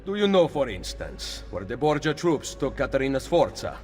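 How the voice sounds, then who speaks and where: A man's voice: mid-range voice, sing-song, moderate pace, darkish tone, soft background music, natural voice. Guy doing confident italian assassin hero from a video game, mid voice, big reverb. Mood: challenging. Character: confident, determined male Renaissance assassin leader. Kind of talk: video game cutscene acting.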